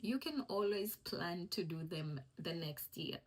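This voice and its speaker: A young woman from East Africa with Swahili as her first language, sharing an uplifting faith-based message as a vlogger. Her voice is somewhat low and breathy. She speaks conversationally and circles back to her main points to reassure the listener. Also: animated, a little slow. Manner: encouraging, conversational, repeats key phrases. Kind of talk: faith-based motivational vlog talk.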